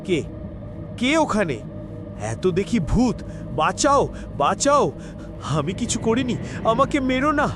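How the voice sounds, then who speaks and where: A man's voice: sits high, very animated, takes it slowly, long pauses, background noise, full character voice. A man voicing a frightened young villager in a cartoon horror story, panicky and pleading. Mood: terrified.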